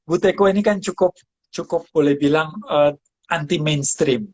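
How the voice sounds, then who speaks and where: Higher-pitched man, slightly husky, chatting. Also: a little mellow. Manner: halting, repeats phrase starts, mixes in English words. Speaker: host interviewing a guest about breathing practice. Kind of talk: casual conversational interview.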